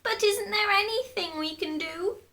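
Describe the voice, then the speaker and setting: A woman's voice: very high-pitched, a little slow, bright, forceful tone, voice pushed up, exaggerated voice. A woman voicing a frightened, gullible old wife from a children's storybook, crying out and gasping. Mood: desperate.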